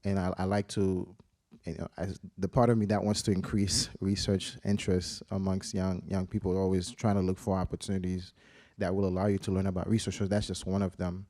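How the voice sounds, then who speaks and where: A man's voice: low-pitched, level tone, a little fast, warm tone, dry recording, studio quality. A man in his forties from Haiti, a researcher on a conference panel, telling his story earnestly with many restarts and fillers. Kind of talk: panel discussion, personal story.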